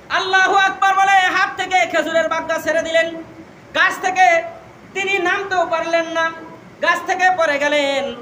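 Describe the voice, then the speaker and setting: High-pitched Bangladeshi man preaching, echoing sound. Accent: Bangladeshi Bengali. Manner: emotive, declamatory, repeating phrases for emphasis. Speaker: Islamic preacher. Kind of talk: impassioned religious sermon with storytelling. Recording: echoey PA with long reverb, background noise.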